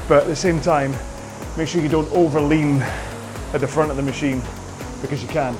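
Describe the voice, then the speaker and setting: A man coaching a rowing workout, calling out cues in an upbeat, jokey way, his voice slightly hoarse. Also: on the high side, steady tone, fairly quick. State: a bit hoarse. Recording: music playing behind. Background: workout music.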